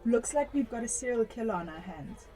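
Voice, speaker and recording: A woman's voice: on the high side, animated, fairly quick, warm tone, natural voice, poor audio. A woman acting a matter-of-fact police detective who takes charge, in a radio drama. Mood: businesslike. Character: businesslike female police detective.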